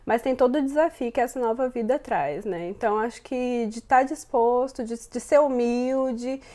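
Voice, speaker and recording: Brief: Brazilian Portuguese woman, 30s, interview guest, thoughtful, fairly high voice. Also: normal speed, mellow. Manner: thoughtful, frequent fillers and self-repeats. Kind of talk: casual interview answers.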